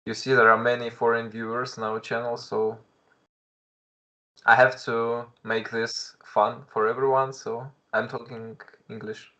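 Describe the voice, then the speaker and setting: A man's voice: medium pitch, fairly bright tone, rough recording. A young man with a Russian accent, commentating a live chess stream in English, thinking aloud with frequent restarts and greeting viewers in chat.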